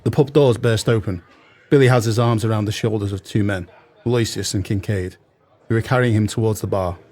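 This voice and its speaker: A man's voice: lowish voice, steady tone, fairly quick, a little mellow, natural voice. A man narrating an audio play, reading scene directions in a detached, scripted manner. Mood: neutral.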